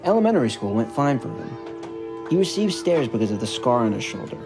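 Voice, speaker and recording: A man reading a scripted story narration in a measured, earnest way. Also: medium pitch, very animated. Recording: music bed under the narration. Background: background music.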